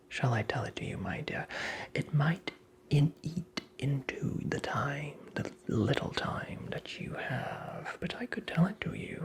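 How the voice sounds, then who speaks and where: A creepy little male imp acted out by a performer whispers slowly, in a sly and taunting way. The breathy delivery is vain and condescending, drawing out phrases as in a fairy-tale ASMR roleplay. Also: medium pitch. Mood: smug, menacing. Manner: slow, teasing, condescending, drawn-out phrasing.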